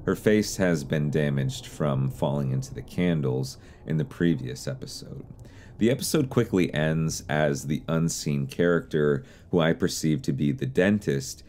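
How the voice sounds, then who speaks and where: A man with a strikingly deep, bass voice hosts an explainer. He narrates in a measured, slightly unsure manner, with a light layer of noise behind him. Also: darkish tone. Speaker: video host and narrator. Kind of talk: explainer commentary on horror videos.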